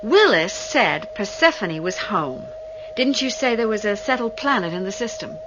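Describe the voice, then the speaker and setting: A woman's voice: medium pitch, animated, moderate pace, close to an everyday voice. A woman playing an inquisitive, persistent starship crew member in a 1980 sci-fi radio drama, questioning and insistent. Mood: curious. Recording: muffled, noisy old radio recording.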